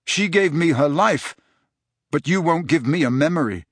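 A man voicing a determined teenage wizard boy who speaks in a quiet, steady, pressing way. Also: a little high, normal speed, no echo, studio quality, pitched above the performer's own voice.

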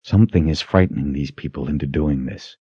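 A man's voice: very deep voice, brisk, dark tone, husky, no echo, muffled recording. A man voicing a cold, defiant fantasy hero in an audiobook, taunting and intense. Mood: cold menace. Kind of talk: dramatic audiobook character acting.

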